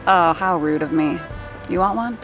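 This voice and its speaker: A woman plays a sharp-tongued 1930s radio actor and sound effects artist in an audio drama. Her lowish voice carries a dry, sardonic edge as she narrates in character. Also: moderate pace, over music, lightly acted, low-quality recording. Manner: wry, sardonic.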